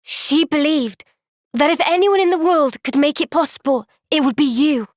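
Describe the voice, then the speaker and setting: A woman playing an earnest, gentle young female soldier in a video game cutscene, speaking with measured, sincere resolve. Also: high voice, no echo, clean studio sound, close to an everyday voice. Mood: thoughtful, earnest.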